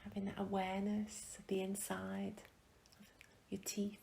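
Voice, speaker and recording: A woman leading a guided meditation in a gentle, calm, soft and breathy voice, with long pauses, often trailing into a whisper. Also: mid-range voice, slight background noise. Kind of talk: guided meditation, soothing instruction.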